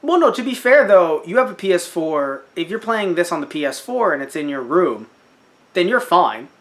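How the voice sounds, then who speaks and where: A young man co-hosting a casual podcast, animated and jokey, slipping into silly voices. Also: high-pitched, normal speed. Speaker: podcast co-host. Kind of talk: casual chat.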